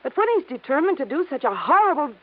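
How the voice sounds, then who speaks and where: An anxious pleading young wife. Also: female voice, high-pitched, sing-song, fast talker. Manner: pleading and emotional. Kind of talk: 1950s radio drama acting. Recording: tinny old radio broadcast.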